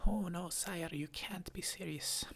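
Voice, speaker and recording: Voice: medium pitch, fairly even intonation, measured pace, fairly bright tone, breathy edge, voice pushed down. A person whispering the lines of a prim, fretful male hornbill advisor. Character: fussy flustered male hornbill advisor bird.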